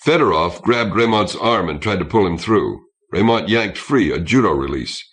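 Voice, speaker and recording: A man's voice: low-pitched, animated, normal speed, fairly bright tone. A man reading a science fiction novel aloud as scripted audiobook narration.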